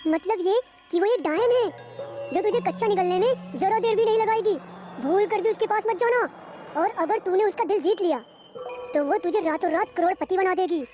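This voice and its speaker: Shrill teasing village lad done by a woman. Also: moderate pace, music in the background. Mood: teasing warning. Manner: teasing, emphatic. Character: teasing village youth, a gossipy young man. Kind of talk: animated horror story acting.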